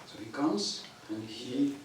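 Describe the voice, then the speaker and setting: A man giving a devotional lecture in English, which is not his first language, speaking haltingly with frequent throat clearing. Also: medium pitch, noisy background. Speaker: visiting preacher. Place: temple hall. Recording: echoey temple hall, mic at a distance, audience heard.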